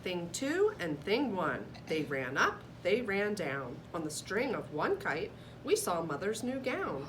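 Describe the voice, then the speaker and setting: A woman reading a children's story aloud in an expressive storytelling style. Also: lowish voice, measured pace. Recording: distant microphone, clear background noise.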